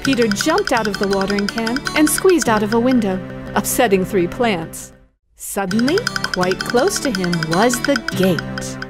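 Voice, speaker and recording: A woman's voice: medium pitch, lively intonation, unhurried. Woman narrating a children's story over faint music.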